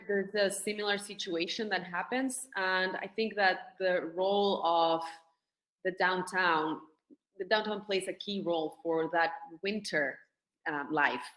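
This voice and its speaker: A woman's voice: fairly low pitch, a bit bright, reverberant, poor audio. A woman, speaking as a panelist on a video call in a friendly way, a little flustered by her screen share. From Argentina.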